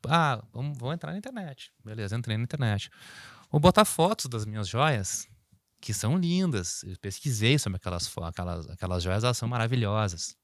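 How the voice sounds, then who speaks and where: A man co-hosting a business podcast, talking through examples in a lively, animated way. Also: medium pitch, a little fast, no room sound, studio quality. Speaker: podcast co-host. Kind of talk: casual business chat.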